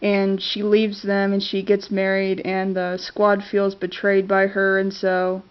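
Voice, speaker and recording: Woman vlogger with a cold, monotone, halting and filler-heavy, muffled home-camera sound. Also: mid-range voice, smooth. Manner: hesitant, many fillers and restarts. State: congested with a cold, clearing her throat. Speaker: vlogger reviewing favorite movies. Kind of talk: casual talk to camera. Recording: dull lo-fi camera audio.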